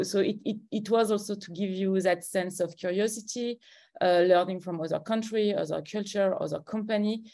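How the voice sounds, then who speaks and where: A woman's voice: on the low side, creaky, mellow. A middle-aged woman from France with a French accent, presenting informally on a video call. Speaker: conference presenter.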